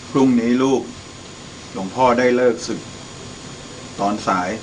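A man's voice: medium pitch, a little slow, darkish tone, a little hiss or hum, close to an everyday voice, low-quality recording. A young man acting the role of a father, a brash drinker who turns repentant, in a student radio drama. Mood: calm, repentant. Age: young adult. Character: drunken brash father, later a repentant gentle monk.

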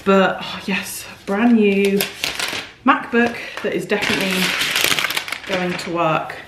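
A young woman vlogging casually to camera, chatty and rambling with lots of fillers, her voice a bit croaky today. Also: mid-range voice, takes it slowly, very noisy. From United Kingdom. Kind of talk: casual vlog chat to camera. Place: parked car, then home hallway. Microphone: camera on dashboard, then handheld camera.

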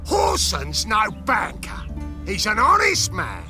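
A menacing racketeer from a fantasy game. He talks slowly in a raised male voice with huge pitch swings, over clearly audible music. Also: wildly swooping pitch, exaggerated voice. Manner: slow, threatening, loud. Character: menacing male street thug, protection racketeer. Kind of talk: video game cutscene acting. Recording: music behind the voice.

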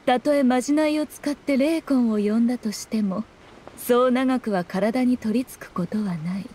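A woman's voice: fairly high pitch, normal speed, mellow, a little hiss or hum, lightly acted. A woman voicing a proud, sly, ageless fox-spirit shrine maiden in an anime game, with haughty, old-fashioned speech. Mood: composed.

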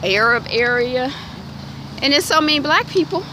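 A woman in her thirties with a Detroit accent, talking to her phone camera while walking down a residential street. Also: moderate pace. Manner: animated, acts out quoted voices with big pitch jumps. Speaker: vlogger. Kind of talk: walk-and-talk vlog chat. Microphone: phone held at arm's length. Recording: outdoor noise from street traffic.

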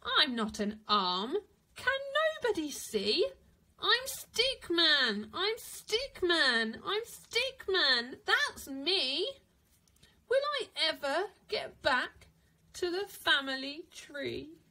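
A woman voicing an indignant, homesick little living stick man from a storybook, with emphatic, drawn-out protests. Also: sits high, very animated, sharp tone, voice pushed up, exaggerated voice, poor audio.

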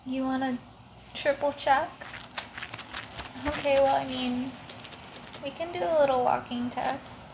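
A young woman with a high voice plays a gentle giant monster in a soft-spoken ASMR roleplay. She speaks slowly and haltingly. The home recording has clear background noise. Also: fairly even intonation. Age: young adult. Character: gentle giant female monster with sore feet. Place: living room, indoors on a couch.

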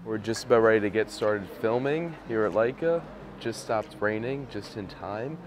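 A man's voice: on the low side, expressive, normal speed, background noise. A young man chatting casually into a handheld camera on a trip, upbeat and joking though tired. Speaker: vlogger. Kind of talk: handheld travel vlog chat. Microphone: camera mic at arm's length.

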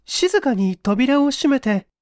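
A woman plays a young office worker in a dramatized mystery reading. Her voice is very high and closely recorded without room sound. She speaks in deferential, formal phrases, hesitant and distressed as she confesses. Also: big pitch swings, takes it slowly, a little mellow, no room sound, studio quality, exaggerated voice. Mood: earnest recounting.

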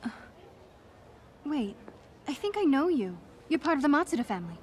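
High-voiced, polite young woman. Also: sing-song, moderate pace, slight background noise. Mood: polite concern. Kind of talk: video game cutscene acting.

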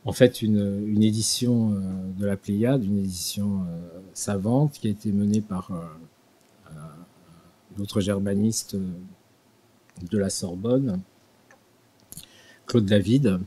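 An older man giving an unscripted talk in a small studio at a desk microphone, halting with frequent restarts and fillers. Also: on the low side, steady tone, mellow, breathy edge. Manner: hesitant, many restarts and fillers. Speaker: guest lecturer. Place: small studio with dark purple backdrop. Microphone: desk mic on stand.

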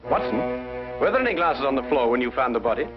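An actor playing a brilliant, courteous detective, speaking precisely and deliberately in 1950s detective drama style. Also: male voice, medium pitch, lively intonation, lightly acted. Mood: probing. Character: brilliant, composed male detective, methodical and courteous. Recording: muffled 1950s broadcast, music underneath.

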